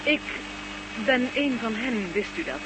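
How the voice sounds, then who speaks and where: A woman's voice: on the high side, lively intonation, unhurried. Noisy old radio drama, serious female defector, composed, dry, formal, assured, hum behind. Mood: grave, assured. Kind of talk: Dutch science fiction radio play acting.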